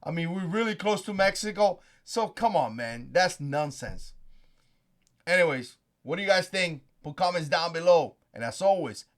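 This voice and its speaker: Animated high-voiced Cuban-accented man. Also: moderate pace. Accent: Cuban Spanish. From Cuba. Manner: animated and emphatic, rhetorical questions. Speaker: channel host. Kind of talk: opinion vlog monologue.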